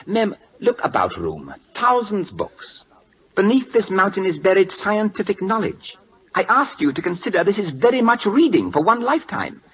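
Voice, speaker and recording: A man's voice: high-pitched, huge pitch swings, moderate pace, fairly bright tone, husky, full character voice. An actor playing an imperious, inquisitive King of Siam in a radio drama, with a put-on Siamese accent and pompous, clipped broken English. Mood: insistent, puzzled. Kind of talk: 1940s American radio acting. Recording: muffled old-time radio recording.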